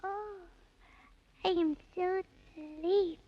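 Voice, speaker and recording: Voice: very high-pitched, fairly even intonation, slow, dull audio, very noisy. A performer playing a plucky, polite, earnest little boy with childlike phrasing in a 1930s children's radio serial. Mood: pleading, drowsy. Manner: childlike scolding and pleading.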